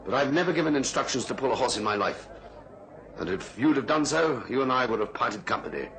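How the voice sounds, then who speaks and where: Forthright racehorse trainer, animated delivery. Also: male voice, medium pitch, quick pace, some chatter behind, a little hiss or hum. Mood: firm indignation. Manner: firm, indignant, forthright. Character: principled, blunt male racehorse trainer. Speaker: actor. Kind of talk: radio drama acting.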